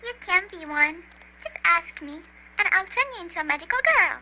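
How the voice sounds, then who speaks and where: A woman voicing an odd, casually flippant wish-granting creature in an indie animated horror, with a hesitant delivery. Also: very high voice, normal speed, exaggerated voice, low-quality recording. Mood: offering. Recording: faint hum and hiss.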